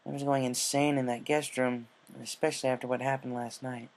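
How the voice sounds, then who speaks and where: A man's voice: mid-range voice, little pitch movement, a little fast, slight background noise, lightly acted. Plain-spoken, troubled pegasus mare, young and stubborn, casual delivery for a story reading, acted by a man. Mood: frank. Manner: casual, frank. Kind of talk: character dialogue in fan fiction reading.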